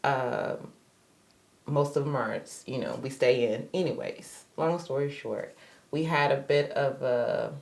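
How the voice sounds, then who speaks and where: A woman in her thirties hosting a marriage podcast in casual, chatty conversation, her voice slightly raspy today. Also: a bass voice, unhurried, darkish tone. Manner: chatty, upbeat, laughs easily. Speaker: podcast host, marriage therapist associate.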